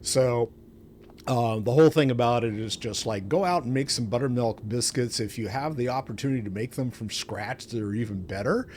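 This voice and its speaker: A man's voice: medium pitch, moderate pace, darkish tone. A man hosting a casual game stream, rambling along in a self-deprecating way. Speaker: streamer, show host. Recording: clearly noisy home stream audio.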